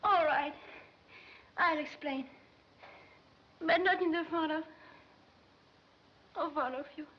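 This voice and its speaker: A woman's voice: high voice, slow pace, long pauses. A young actress playing a nineteen-year-old schoolgirl, proud but cornered and desperate, in vintage film melodrama style. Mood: resigned. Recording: muffled vintage film sound, noisy.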